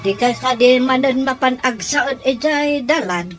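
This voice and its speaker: Scheming, demanding woman played by an actress, somewhat high voice, questioning tone, audio drama. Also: unhurried, faint music behind. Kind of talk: Ilocano radio-style audio drama acting.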